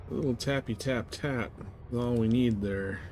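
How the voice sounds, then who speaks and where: A man's voice: mid-range voice, moderate pace, a little mellow. A man doing casual, laid-back live gameplay commentary, muttering to himself as he goes. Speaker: live game streamer.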